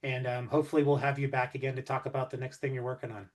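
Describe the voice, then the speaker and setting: A man's voice: mid-range voice, little pitch movement, very fast. A man hosting an interview conversationally, talking in long, complimentary sentences. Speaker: interview host.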